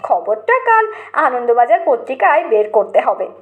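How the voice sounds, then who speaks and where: A woman reading a comic story as narrator, in an expressive, deliberate storytelling manner. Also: high voice, phone-quality sound.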